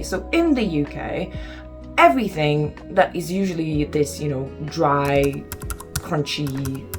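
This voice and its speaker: A young woman from Lithuania with a Lithuanian accent, chatting casually to camera in a home vlog, with lots of ums and exasperated asides. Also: fairly low pitch. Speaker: vlogger. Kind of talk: casual talking-head vlog.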